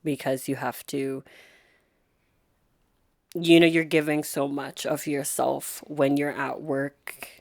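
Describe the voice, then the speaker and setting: A woman nurse talks as an interview guest in a low voice. She speaks slowly and thoughtfully, pausing often with soft fillers. The sound is dry and close. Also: little pitch movement, no room sound. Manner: soft-spoken, thoughtful, with frequent pauses and fillers. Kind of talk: reflective interview conversation.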